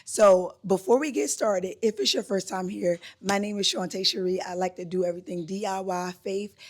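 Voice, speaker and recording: A woman vlogging casually from her home, her voice still hoarse from a recent cold, chatty and upbeat with frequent "like" and "um". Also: mid-range voice, fairly even intonation, moderate pace. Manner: chatty, upbeat, says "like" and "um" often. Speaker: lifestyle vlogger. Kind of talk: casual chat to camera. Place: at home, indoors.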